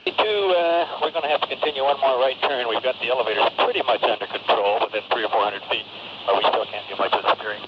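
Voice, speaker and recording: Man, airline pilot, quick delivery, matter-of-fact, muffled cockpit radio transmission. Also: medium pitch, very noisy.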